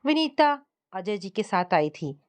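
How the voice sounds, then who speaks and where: Female story narrator, mid-pitched, scripted first-person domestic drama. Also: very animated, unhurried.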